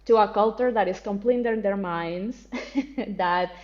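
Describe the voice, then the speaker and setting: Woman guest, expressive, candid, laughs easily, dull lo-fi call audio. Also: medium pitch, moderate pace. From Colombia. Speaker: guest, spiritual teacher. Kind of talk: casual interview conversation.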